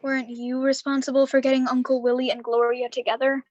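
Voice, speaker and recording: A woman playing a worried, brisk mother in a live radio drama, speaking softly. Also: sits high, level tone, dry recording, highs cut off, close to an everyday voice. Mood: grave, reproachful.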